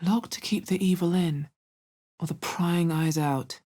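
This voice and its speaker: A woman playing a guarded adventurer and spellcaster in a fantasy video game, with a husky, bass-heavy voice and a measured, wary, dry delivery. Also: fairly even intonation, mellow. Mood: musing, dry. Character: guarded, low-voiced adventurer woman, player hero who casts spells.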